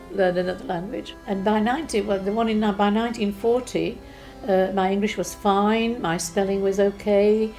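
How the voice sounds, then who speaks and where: A woman in her eighties, originally from Czechoslovakia, recalling her childhood gently and unhurriedly, with pauses and restarts. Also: mid-range voice, poor audio. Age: 80s. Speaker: interviewee. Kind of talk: recounting childhood memories. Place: living room, seated in armchair.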